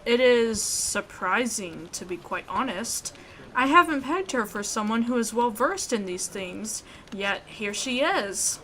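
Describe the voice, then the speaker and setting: A woman reading a visual novel aloud in an expressive way, with reactions as a gaming YouTuber. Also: on the high side, measured pace, a little hiss or hum.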